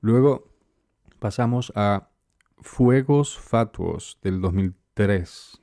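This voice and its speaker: A man's voice: lowish voice, extremely slow, creaky, warm tone, dry recording, clean studio sound. A man with an Ecuadorian Spanish accent hosting a casual, conversational interview. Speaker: interviewer, host.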